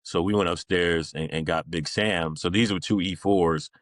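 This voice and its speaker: A man's voice: low voice, steady tone, normal speed, no echo. A man, middle-aged, talking informally as an interview guest, rambling through stories in a casual conversational style. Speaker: podcast guest, Navy veteran.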